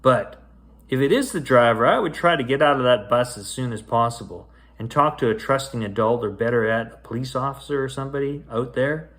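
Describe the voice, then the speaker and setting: A man's voice: mid-range voice, fairly bright tone. A man reading a children's bedtime story aloud in a theatrical, suspenseful way. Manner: theatrical, drawn-out, suspenseful delivery. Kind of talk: dramatic storybook read-aloud with casual asides. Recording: roomy, echoey sound.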